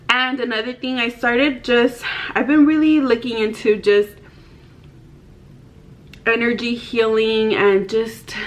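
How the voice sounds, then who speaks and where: A woman of about thirty chats to a camera in her bedroom, open and frank and full of casual fillers. Her voice sits at an ordinary middle pitch. The audio is a little dull, as if the highs were rolled off. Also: fairly bright tone. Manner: chatty, candid, many "like" fillers. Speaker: vlogger. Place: bedroom, indoors. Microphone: camera in front, head and shoulders.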